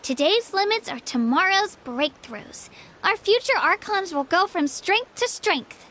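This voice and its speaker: Bright, very high-pitched cheerful girl. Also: lively intonation, measured pace, heavily acted. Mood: earnest, explaining. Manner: chatty, upbeat, earnest. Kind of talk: video game voice acting.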